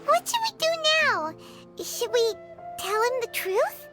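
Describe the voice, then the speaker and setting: An excitable little fairy companion from a video game, very high-pitched and swooping widely as she speaks, outspoken and childlike. Loud music plays behind her. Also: a little slow, full character voice. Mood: puzzled, curious. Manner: speaks of self in third person, exclamatory.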